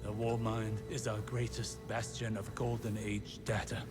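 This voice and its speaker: A male actor plays a proud, brooding game warlock and scholar. His mid-pitched voice is grave and imperious, with loud music playing underneath. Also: level tone, unhurried, natural voice. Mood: grave, urgent. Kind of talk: scripted video game acting.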